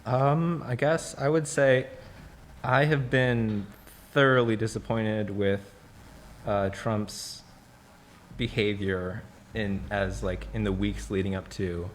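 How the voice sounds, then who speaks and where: A man's voice: lowish voice, fairly even intonation, slow pace. A young man sharing his thoughts in a discussion, hesitant and careful, over some background noise. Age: young adult. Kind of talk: reflective discussion.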